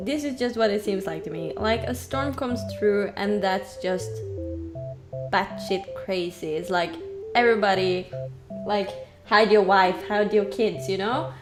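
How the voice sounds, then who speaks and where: A young woman from Norway chatting casually on a podcast, unhurried and laughing often. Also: medium pitch, music in the background. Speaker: podcast co-host.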